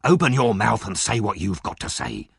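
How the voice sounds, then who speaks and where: A man's voice: fairly low pitch, sing-song, moderate pace, vocal fry, breathy edge, no room sound, studio quality, exaggerated voice. A man voicing a brutal, hot-tempered robber in an audiobook, threatening and aggressive. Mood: angry, uneasy.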